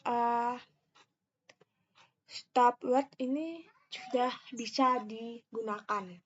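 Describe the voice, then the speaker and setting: Slow-paced young woman presenting. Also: on the high side, fairly even intonation, muffled recording. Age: young adult. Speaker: university student presenter. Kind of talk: step-by-step screen tutorial narration.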